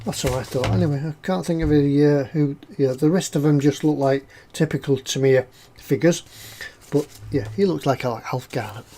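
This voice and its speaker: A man's voice: fairly high pitch, moderate pace, warm tone, soft background music. A man with a head cold, sounding snuffly as he chats casually on a live stream, reading out viewers' comments as he builds a scale model. He rambles in a friendly way, with lots of "uh"s and repeated words. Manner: rambling and chatty, with frequent "uh"s and self-repeats.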